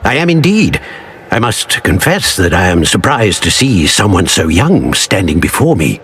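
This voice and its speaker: Stately, expressive old wizard. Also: male voice, deep voice, slightly rough, exaggerated voice. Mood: solemn. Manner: stately, formal, measured. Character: grave, formal old male wizard professor. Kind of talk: video game character acting.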